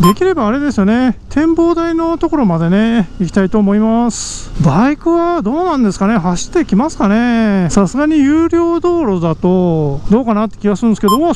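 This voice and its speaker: A man's voice: very high-pitched, fairly quick, few pauses, slight background noise. A man hosting a motorcycle vlog, narrating casually and exclaiming at the views while riding on a mountain road. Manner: excited, frequent exclamations at the scenery. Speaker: motovlog host. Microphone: helmet mic on a moving motorbike.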